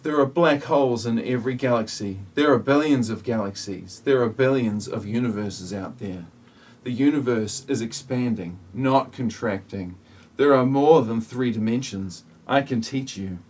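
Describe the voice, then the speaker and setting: Husky, solemn messianic man acting as a judge of humanity. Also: medium pitch, moderate pace, a little mellow, lightly acted. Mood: solemn lecturing. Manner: stilted, portentous, lecturing.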